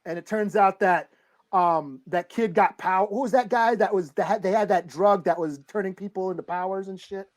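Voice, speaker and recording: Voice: mid-range voice, normal speed. A podcast co-host chatting and debating casually, in informal, slangy language with plenty of swearing. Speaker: podcast co-host discussing comic books.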